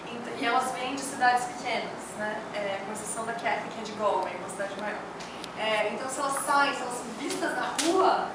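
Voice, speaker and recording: A woman's voice: on the high side, a little fast. A Brazilian woman explaining things informally in a group discussion. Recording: very echoey, distant mic, room noise.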